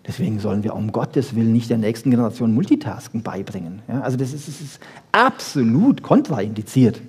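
A man's voice: mid-range voice, animated, brisk, darkish tone, slightly rough. A man giving a colloquial science lecture, emphatic, with rhetorical questions and frequent little check-ins to his listeners.

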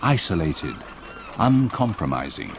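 Deep, expressive older English storyteller. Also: male voice, noisy background, full character voice. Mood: awed, grave. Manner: measured, literary, vivid storytelling. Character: older retired English solicitor recalling a haunting past. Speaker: narrator. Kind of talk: scripted radio drama narration. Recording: clear outdoor effects behind narration.

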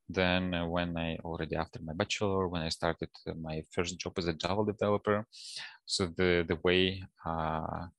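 Russian guy in an interview, low creaky voice, kinda halting, dry close headset sound. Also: no echo. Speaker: guest, data science professional. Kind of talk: casual interview talk. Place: office, indoors, on a video call.

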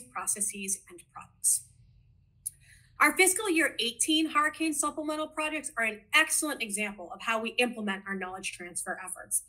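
A woman's voice: on the high side, sharp tone. A woman in her forties with a Boston accent, presenting a program overview over slides on a video call from a home office, earnest and enthusiastic with small stumbles. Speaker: program manager. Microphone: webcam on video call.

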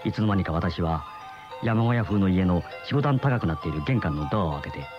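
An actor playing an uneasy middle-aged husband in a radio mystery, with brooding, dramatic storytelling. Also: male voice, sits low, animated, a little fast. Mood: brooding, dreamy. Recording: muffled old radio drama recording, music behind.

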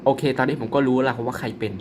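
A young man commentating his own mobile werewolf game in a chatty, excitable way, polite but slipping into laughter. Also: mid-range voice, rapid-fire, background noise. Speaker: gaming YouTuber.

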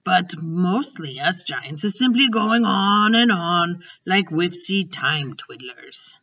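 Storybook giant, ancient, gentle, proud, childlike played by a woman; forceful, drawn-out, garbled grammar, slightly dull distant recording. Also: lowish voice, animated, full character voice. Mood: musing. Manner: mangled grammar and invented words.

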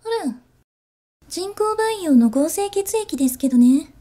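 Girl doing a zombie bunny cabin attendant, super polite n teasing, high soft voice, asmr drama. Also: lively intonation, a little slow, slight background noise. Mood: politely teasing. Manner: soft, formal customer-service politeness. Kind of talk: Japanese ASMR situation voice drama.

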